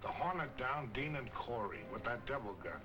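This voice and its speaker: An American actor playing an urgent gangster underling in a 1940s film serial. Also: male voice, mid-range voice, lively intonation, moderate pace, close to an everyday voice. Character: urgent gangster underling reporting to his boss. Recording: old film soundtrack with music.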